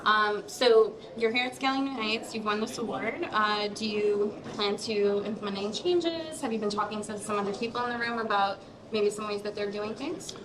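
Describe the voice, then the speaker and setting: A woman hosting a casual interview at a crowded awards reception, upbeat and quick to agree. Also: mid-range voice, rough recording. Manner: upbeat, quick affirmations, laughs often. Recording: crowd chatter behind.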